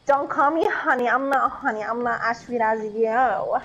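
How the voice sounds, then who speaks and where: A woman's voice: on the high side, moderate pace, highs cut off, background noise. A woman chatting casually in a game voice chat, whiny and playfully dramatic. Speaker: inexperienced player in online squad game. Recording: game voice chat, faint music.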